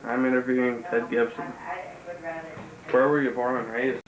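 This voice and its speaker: Man, monotone, interviewer reading questions, distant microphone. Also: medium pitch, moderate pace, crowd noise. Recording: roomy, distant microphone, background hiss.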